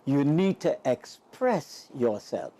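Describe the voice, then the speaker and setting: Slow, warm woman narrator. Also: very deep voice, big pitch swings. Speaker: parenting tips narrator. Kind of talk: scripted voice-over.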